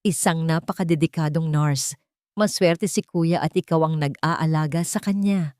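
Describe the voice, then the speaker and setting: A woman's voice: low voice, moderate pace, darkish tone, dry recording, studio quality, close to an everyday voice. A woman voicing a rich, menacing younger brother in an audio story, sweet but pointed, with a Filipino accent. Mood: falsely affectionate.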